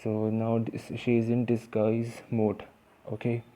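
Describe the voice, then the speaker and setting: A man's voice: lowish voice, completely flat delivery, a little slow, dark tone. A man with an Indian accent teaching English vocabulary in a casual, hesitant way, with many fillers and restarts. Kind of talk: informal vocabulary lesson.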